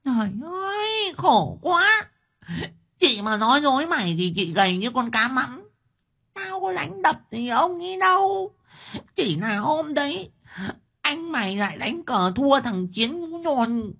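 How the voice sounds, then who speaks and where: A woman's voice: high-pitched, sing-song, unhurried, vocal fry, fairly bright tone, slightly rough, heavily acted. A woman playing a coarse, talkative village wife who complains bluntly about her husband in an audio story. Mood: tearful protest. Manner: blunt, exclamatory, colloquial complaining. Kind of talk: dramatized audio story dialogue.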